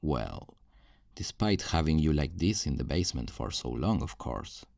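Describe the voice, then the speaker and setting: A man's voice: very deep voice, very animated, normal speed, vocal fry, darkish tone, no echo, studio quality, heavily acted. A man playing a possessive, self-righteous kidnapper in an ASMR roleplay, speaking in a condescending, coaxing, menacingly tender way. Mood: condescending tenderness. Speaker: audio roleplay performer.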